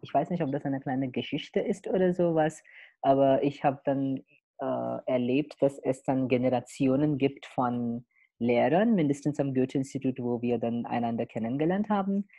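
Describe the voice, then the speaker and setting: A young man hosting a podcast, opening with a soft spoken introduction and then chatting with many fillers. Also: medium pitch, muffled recording. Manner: soft, slow intro over music, then chatty and halting. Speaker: podcast host. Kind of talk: podcast interview with narrated intro.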